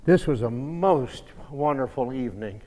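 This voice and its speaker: A man giving informal closing thanks in a halting, folksy way, as a church speaker. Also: medium pitch, expressive, a little mellow. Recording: echoey hall with long reverb.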